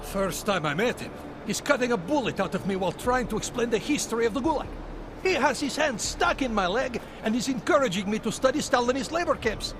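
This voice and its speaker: A man's voice: very high-pitched, expressive, fairly quick, noisy background. An actor playing an earnest, loyal augmented man who guards a rebel group's door in a video game cutscene. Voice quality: earnest, admiring, reflective.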